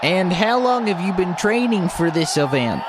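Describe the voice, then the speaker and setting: A man playing an excitable, rambling TV field reporter in a comedy radio sketch, shouting his live report. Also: sits high, normal speed, background noise. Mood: excited. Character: excitable male TV field reporter at absurd Olympics. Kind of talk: parody live sports reporting.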